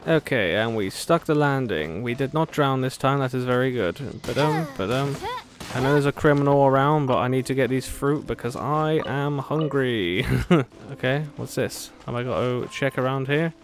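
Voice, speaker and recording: Low-voiced woman doing game commentary. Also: moderate pace. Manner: sarcastic, chatty, talking to game characters. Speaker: gamer playing and commenting. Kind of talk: casual let's play commentary. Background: game music.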